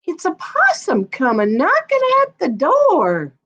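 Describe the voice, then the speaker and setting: Woman as an excitable country kid, slow and swooping. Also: high voice, lo-fi sound, pitched above the performer's own voice, exaggerated voice. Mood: hushed excitement. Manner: folksy, drawn-out, rhythmic.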